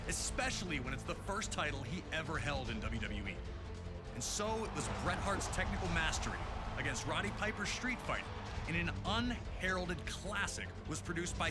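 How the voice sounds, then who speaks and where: A man narrating a scripted sports documentary with dramatic, announcer-like storytelling. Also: on the high side. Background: music.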